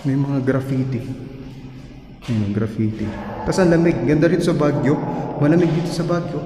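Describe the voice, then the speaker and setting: A man's voice: medium pitch, normal speed, noisy background. Chatty man vlogging with a handheld camera. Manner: chatty, excitable, trails off into hushed remarks in dark rooms. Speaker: vlog host exploring abandoned places. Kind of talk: casual walking vlog. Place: abandoned concrete building, indoors and outdoors. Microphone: handheld action camera at arm's length.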